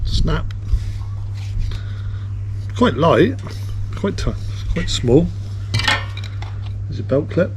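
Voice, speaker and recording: A man's voice: on the high side, big pitch swings, drawn-out words, pauses often, background noise. A man giving a casual, informal unboxing commentary in an off-the-cuff way. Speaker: video host reviewing a product.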